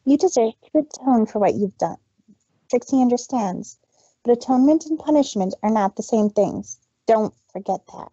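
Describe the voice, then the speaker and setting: A woman's voice: a little high, animated, moderate pace, mellow, husky, dry recording, muffled recording. A woman voicing a proud, dramatic young mare in a story reading, declamatory and emphatic. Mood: earnest, firm. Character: proud, dramatic young mare speaking of herself in third person.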